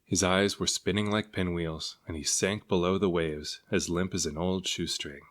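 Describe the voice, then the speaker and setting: A man with a low-pitched voice narrates a children's picture book. He reads it aloud as scripted storytelling, his voice sitting well down in the male range. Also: fairly even intonation, moderate pace. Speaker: storybook reader.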